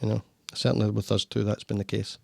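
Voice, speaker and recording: A Scottish man hosts a relaxed podcast chat, rambling and restarting his sentences. His voice has a gravelly rasp, and the studio sound is dry and close. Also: lowish voice, fast, no room sound. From Scotland. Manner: warm, rambling, talks with frequent restarts. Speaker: podcast host. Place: home studio.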